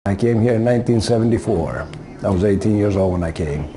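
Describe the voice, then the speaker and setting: A man in his sixties from Greece, answering interview questions in a casual, hesitant way with many pauses and fillers. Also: fairly low pitch, animated, background noise. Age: 60s.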